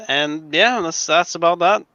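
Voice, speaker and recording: A man's voice: on the high side, a little slow, sharp tone, no echo. A man, chatting hesitantly on a game livestream. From Slovenia. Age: 20s. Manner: hesitant, with many uh fillers. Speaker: tabletop game player. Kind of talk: casual chat on a livestream. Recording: lo-fi mic.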